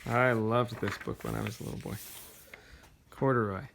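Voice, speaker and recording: A man reading a storybook aloud as a father to his child, with gentle, conversational questions and asides. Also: medium pitch, steady tone, normal speed, creaky, noisy background.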